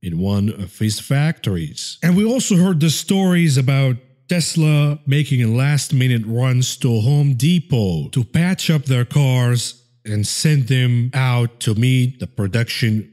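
A man with a relaxed, mellow voice at a medium pitch comments on market news as a show host. His delivery is informal and dryly sardonic, with a tongue-in-cheek edge.

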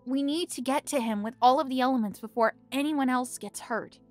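A woman's voice: high-pitched, brisk, faint music behind, natural voice. A woman voicing an unsure but determined young heroine who hesitates and stammers, in a fan audio drama. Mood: earnest.

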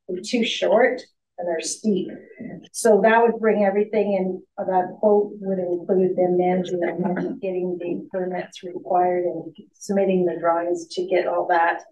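A woman's voice: fairly low pitch, warm tone. A woman presenting a project update to a board in a plain, matter-of-fact way, with many ums and restarts, recorded in a large meeting room through a table microphone. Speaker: staff member presenting to a board. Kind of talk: meeting report. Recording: echoey, distant, dull, faint hiss.

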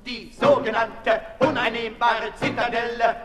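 An actor playing a fervent revolutionary singer, chanting and half-singing verse in a 1960s radio play. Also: male voice, very high voice, flat delivery, unhurried. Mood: declamatory. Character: fervent male revolutionary ballad singer, rabble-rousing. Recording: echoey hall, 1960s radio recording. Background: music and crowd.

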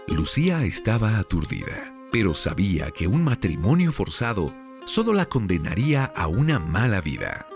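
A man's voice: lowish voice, huge pitch swings, normal speed, music in the background. A man narrating a radio drama as a solemn storyteller.

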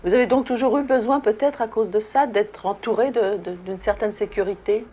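A woman's voice: a little high, a little fast. A woman interviewer asking questions in a polite, formal yet conversational style. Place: furnished sitting-room set indoors. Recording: muffled, noisy old archival broadcast.

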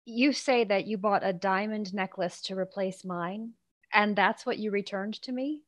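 An actress in a radio play playing a charming, youthful, breezy socialite with a casual, gushing way of talking. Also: female voice, mid-range voice, normal speed, no room sound, close to an everyday voice. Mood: baffled.

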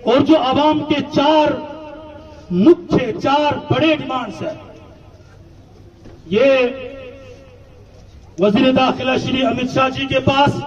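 A man addresses an outdoor protest rally in a very high, raised pitch. His delivery is slow and declamatory, breaking into short emphatic phrases. The sound is muffled, as if picked up at a distance. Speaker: community representative, rally speaker. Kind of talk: impassioned political speech at a protest rally. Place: outdoors in a town street at a rally. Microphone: handheld microphone.

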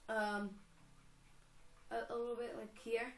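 A woman's voice: a little high, flat delivery, slow pace, roomy sound, slight background noise. A young woman casually commenting on her own game, rambling and giggling as she goes. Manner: chatty, rambling, giggly self-talk. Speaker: gamer. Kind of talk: casual let's play commentary.

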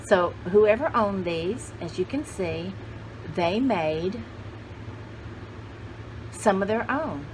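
A woman's voice: mid-range voice, lively intonation, takes it slowly, long pauses. A woman in her sixties chatting casually to camera, rambling and thinking aloud as she shows things to viewers. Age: 60s. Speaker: vlogger showing vintage paper dolls. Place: indoor room at home with cluttered shelves. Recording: clearly noisy home video sound.

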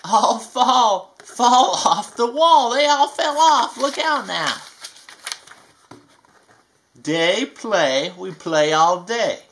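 A performer voicing a playful, folksy bayou alligator with a Southern US accent, reading a children's picture book aloud with drawn-out emphasis. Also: medium pitch, expressive, fairly bright tone, a little hiss or hum, pitched above the performer's own voice, exaggerated voice.

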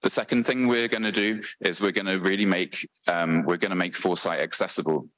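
A very deep-voiced British man gives a conference talk into a handheld mic. He speaks earnestly, with plenty of ums and self-corrections. The sound is close and dry. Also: fairly even intonation, a little fast, fairly bright tone, no room sound, rough recording. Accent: British English. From Britain. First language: English. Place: large conference hall, on stage.

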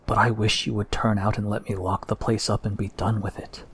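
A man's voice: fairly low pitch, little pitch movement, a little fast, slight background noise, close to an everyday voice. A man voicing a petulant male ship's captain in a storybook reading.

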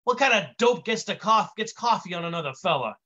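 Man acting out irritable comic palooka, forceful delivery, lively pitch, old-time slang, storytelling. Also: a little fast, heavily acted. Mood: exasperated. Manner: old-time tough-guy slang and mangled grammar. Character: gruff, short-tempered male vaudeville-style wise guy comedian.

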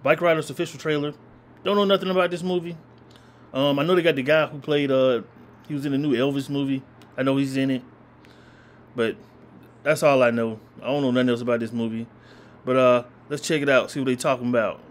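A man's voice: mid-range voice, fairly quick, long pauses. A young man hosting a trailer reaction, chatting casually and rambling a little, with slang. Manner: relaxed, rambling, uses slang. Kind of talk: casual chat. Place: small room indoors.

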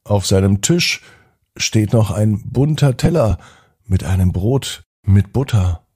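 A man narrates a children's bedtime story in a gentle, deliberate way with pauses. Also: on the low side, animated, breathy edge.

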